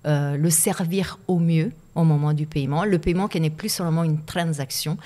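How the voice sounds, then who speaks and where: A woman's voice: low voice, normal speed, warm tone. A woman with an Italian accent, a native Italian speaker, talking as a guest in a podcast interview, reflective and hesitant, often repeating words as she searches for them. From Italy.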